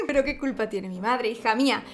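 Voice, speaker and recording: A woman from Spain with a Spanish accent, talking to camera in a casual vlog, expressive and ironic, laughing often. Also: a little high, moderate pace, soft background music. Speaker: vlogger.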